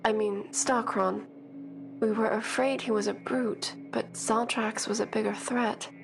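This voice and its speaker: A woman's voice: a little high, steady tone, a little slow, vocal fry, background noise. A woman playing a confident, teasing sentient starship in an audio drama, playful and self-assured.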